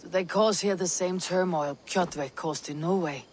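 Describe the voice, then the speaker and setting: An actress playing a calm, steadfast Viking warrior in a video game, speaking in terse, formal, resolute lines. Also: female voice, on the low side, a little slow, a little hiss or hum, close to an everyday voice. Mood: steady, resolute. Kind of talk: dramatic video game cutscene acting.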